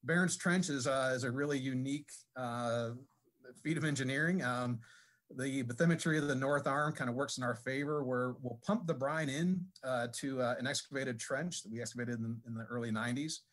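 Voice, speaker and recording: A man giving a technical presentation at a conference, speaking haltingly with many fillers and restarts. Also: mid-range voice, a bit bright. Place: conference session room.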